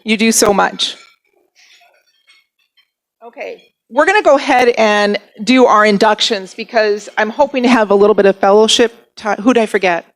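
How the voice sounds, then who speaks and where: A woman's voice: mid-range voice, moderate pace. A woman emceeing a club lunch meeting with casual, chatty announcements and a self-deprecating manner. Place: banquet room at a lunch meeting.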